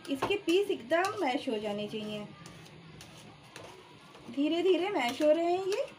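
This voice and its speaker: A woman's voice: high-pitched, lively intonation, takes it slowly, a little mellow, a little hiss or hum. A woman hosting a cooking channel, explaining each step of a home recipe plainly and calling her viewers friends. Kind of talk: step-by-step cooking demonstration voice-over. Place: home kitchen.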